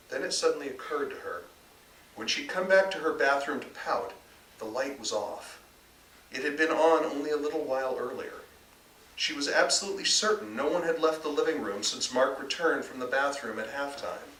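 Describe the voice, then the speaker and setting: A man gives a live literary reading with emphatic, dramatic delivery. His bright, forceful voice reaches a distant mic in an echoey room. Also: medium pitch, slight background noise. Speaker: author reading own work. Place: bookstore reading event before an audience.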